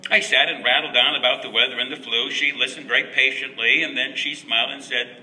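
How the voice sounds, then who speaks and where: Bright-voiced man reciting verse, roomy recording. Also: medium pitch, fairly even intonation. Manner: dramatic, emphatic storytelling with deliberate pauses. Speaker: reader of a Christmas verse story. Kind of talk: expressive recitation of rhyming narrative verse. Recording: roomy, distant mic with faint noise.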